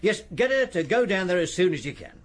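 A man's voice: sits high, sing-song, fairly quick, a little hiss or hum. An actor playing a helpful, businesslike policeman in a radio drama, speaking plainly and informally.

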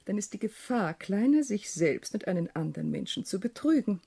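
An actress playing a weary, shrewd young widowed landowner in a German radio play, husky and speaking in a measured, reflective way. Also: female voice, mid-range voice, big pitch swings, mellow, heavily acted.

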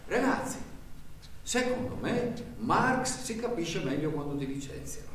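A man's voice: high-pitched, very animated, measured pace, fairly bright tone, exaggerated voice. An actor playing a rambling, earnest working-class man in a stage monologue, stammering, repeating himself and searching for words. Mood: earnest, groping. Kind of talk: theatrical stage monologue in a radio broadcast. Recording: very echoey stage, distant mic, faint noise.

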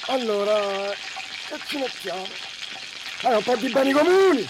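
A woman playing a playful cook who murmurs halting phrases to herself in a comic radio skit. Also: high voice, expressive. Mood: playful, absorbed. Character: playful cook seasoning and frying as she goes. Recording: loud sizzling kitchen noise over voice.

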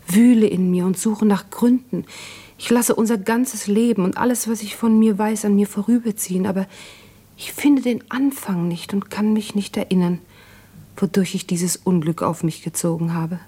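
A woman's voice: fairly low pitch, dark tone, breathy edge. An actress playing a grieving exiled wife who reads out her farewell letter solemnly and intimately in a 1950s German radio drama. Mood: pained, pleading. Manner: solemn, intimate, fervent, often dropping to whispers.

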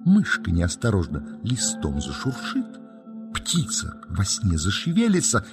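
A man narrating a children's forest story in a dramatic, suspenseful, deliberate manner. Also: fairly low pitch, huge pitch swings, exaggerated voice. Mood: suspenseful, storytelling. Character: hushed, mysterious storybook narrator of forest tales. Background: music.

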